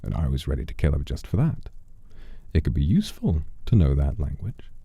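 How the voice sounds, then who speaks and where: A man telling a dramatic first-person story as a streamer, in a theatrical, wry and unhurried manner. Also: extremely low pitch, huge pitch swings, warm tone, slightly rough, no room sound.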